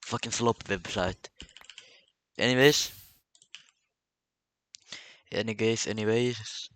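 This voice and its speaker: Halting Finnish-accented man, slow talker. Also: on the low side, fairly even intonation, fairly bright tone, no echo. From Finland. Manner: halting, stammers and repeats words. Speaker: vlogger. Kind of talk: casual solo vlog monologue.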